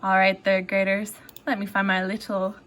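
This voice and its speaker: A woman reading a children's storybook aloud like a teacher, with expressive, deliberate delivery. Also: medium pitch. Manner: expressive, deliberate, pausing to ask children questions.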